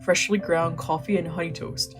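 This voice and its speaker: A woman with a lowish voice reads visual novel narration aloud, breaking off for casual joking asides. Quiet music plays underneath. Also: fairly even intonation, moderate pace.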